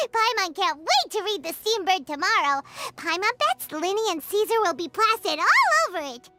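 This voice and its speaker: A woman voicing a small, excitable female sidekick creature in a video game who talks about herself by name. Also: moderate pace, few pauses, heavily acted. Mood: cheerful. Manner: squeaky, bouncy, refers to herself by name. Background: game music.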